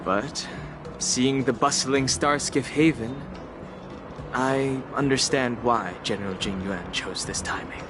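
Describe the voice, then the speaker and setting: A man voicing a calm, reserved, thoughtful young traveler in a video game, measured and understated. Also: medium pitch, close to an everyday voice. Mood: calm, reflective. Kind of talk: English video game voice acting. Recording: game music behind the voice.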